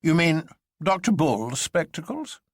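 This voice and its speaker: A man voicing a huge, enigmatic president in a radio drama, smooth, teasing and grandiloquent. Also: fairly high pitch, big pitch swings, measured pace, dry recording, clean studio sound, voice pushed up. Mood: bland amusement. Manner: smooth, mock-genial, grandiloquent.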